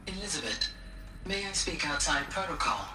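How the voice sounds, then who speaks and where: A woman voicing a gentle, earnest artificial intelligence with formal, measured phrasing in a video game. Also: low-pitched, lively intonation. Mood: formal concern. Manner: formal, measured, precise wording. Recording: roomy echo, slightly distant, music behind.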